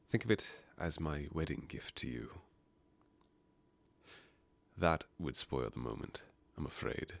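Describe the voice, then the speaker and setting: A man's voice: a bass voice, creaky, dry recording, exaggerated voice. A man playing a charming, teasing masked nobleman in a romantic ASMR fairytale roleplay, suave and playful with courtly phrasing.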